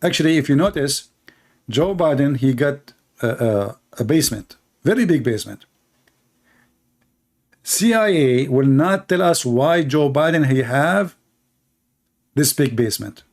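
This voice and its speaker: A man's voice: mid-range voice, animated, measured pace, pauses often. A man hosting an online debate, mocking in a sarcastic rant with broken English, restarts and many fillers.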